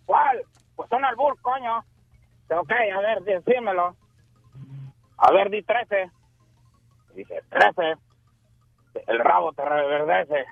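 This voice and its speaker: A man on the phone tells a joke in a casual, chuckling way. His voice sits high and comes through thin and dry like a phone line. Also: slow pace, gravelly, pauses often, no room sound, faint music behind. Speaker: caller.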